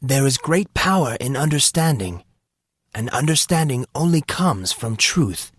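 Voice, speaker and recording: A man's voice: medium pitch, lively intonation, a little slow, breathy edge, no room sound, clean studio sound, voice pushed up. A man voicing a courteous, formal manservant who speaks in a polished, philosophical, lecturing way for an audiobook drama. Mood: earnest, instructive.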